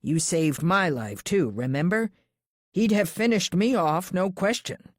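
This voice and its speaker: A woman voicing a gruff, stubborn small-town man in a thriller audiobook, plain-spoken and folksy with a loyal streak. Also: fairly low pitch, lively intonation, measured pace, no room sound, studio quality. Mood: insistent.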